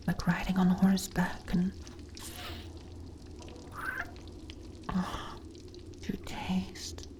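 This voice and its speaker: Woman acting a slow, breathy slime monster girl. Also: lowish voice, dark tone. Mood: entranced, yearning. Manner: breathy, hesitant stammering, drifting into whispers. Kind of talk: intimate roleplay audio acting.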